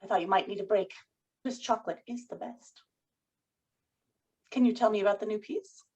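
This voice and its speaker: A woman's voice: mid-range voice, moderate pace, a little mellow, slightly rough, close to an everyday voice. A woman playing a poised, controlling writer's wife in a period drama table read, with formal, persuasive phrasing. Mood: gentle, suggestive.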